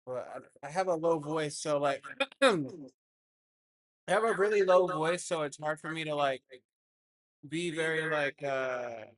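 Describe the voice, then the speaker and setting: A man's voice: on the high side, measured pace, pauses often, no echo. A young man chatting casually as he sets up a stream at home, rambling and hesitant with lots of fillers, speaking into a desktop mic. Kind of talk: casual chat while setting up a stream.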